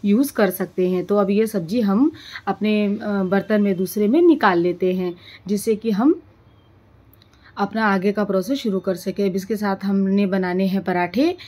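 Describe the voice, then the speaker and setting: A woman narrates a cooking tutorial as the host. Her medium-pitched voice walks through each step in an explanatory manner. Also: moderate pace, mellow. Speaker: home cooking show host.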